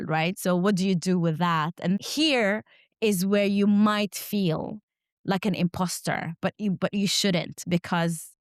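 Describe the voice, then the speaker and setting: Low-voiced woman, Arabic native, measured. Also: a little mellow, dry recording, studio quality. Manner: measured, rhetorical "right?" tags. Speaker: guest academic. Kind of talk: reflective academic interview.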